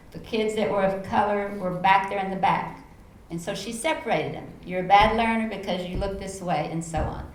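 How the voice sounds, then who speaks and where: Texan woman telling classroom stories. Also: medium pitch. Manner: animated storyteller, acts out her own quoted lines. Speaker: panel speaker, educator. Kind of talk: panel talk, personal storytelling. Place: conference room. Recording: echoey room, faint background noise.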